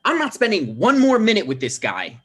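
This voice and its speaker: A man's voice: high-pitched, huge pitch swings, a little fast, pushed and bright, slightly rough, full character voice. A man playing a touchy, aggrieved mayoral candidate and grown son in a comedic table read, earnest and exasperated. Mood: hurt, irritated.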